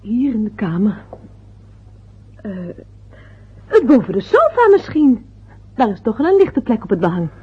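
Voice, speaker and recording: Weary wife, reproachful, very breathy, slightly high, lo-fi radio drama. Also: female voice, sing-song, unhurried, long pauses, exaggerated voice. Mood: placating. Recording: dull lo-fi old radio recording.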